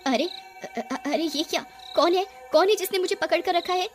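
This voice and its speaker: A woman voicing an expressive, playful young village wife with a pleading manner in an animated horror story. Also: very high-pitched, normal speed, faint music behind, voice pushed up. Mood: panicked.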